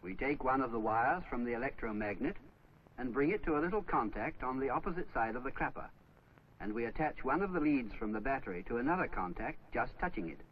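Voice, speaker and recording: A man's voice: mid-range voice, expressive, a little fast. A man narrating a scripted 1950s instructional film, explaining step by step in a didactic way. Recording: dull, noisy old 1950s film soundtrack.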